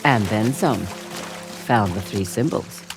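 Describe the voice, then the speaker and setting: A woman's voice: very deep voice, expressive, takes it slowly, music in the background, exaggerated voice, low-quality recording. A woman playing a wry, teasing, adventurous treasure hunter in a video game cutscene. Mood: wry, focused.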